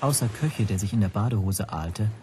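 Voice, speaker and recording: A man's voice: lowish voice, animated, fairly quick, noisy background, natural voice. An actor playing the first-person narrator of a radio drama, recounting his predicament in a subdued, introspective way. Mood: subdued, tense.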